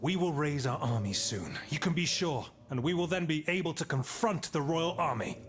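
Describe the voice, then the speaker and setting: A man's voice: on the high side, animated, moderate pace, natural voice. A man playing a confident, rash aristocratic revolutionary leader in a video game. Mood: eager, confident. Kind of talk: video game cutscene acting.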